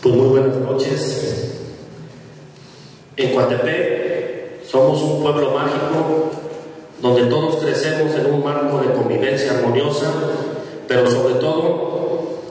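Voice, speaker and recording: A man with a Mexican Spanish accent giving a slow, formal welcome speech as mayor at a podium, pausing for his interpreter. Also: medium pitch, fairly even intonation, darkish tone, slight background noise. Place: large hall with audience. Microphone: podium microphone heard from far off. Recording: very echoey hall, distant mic.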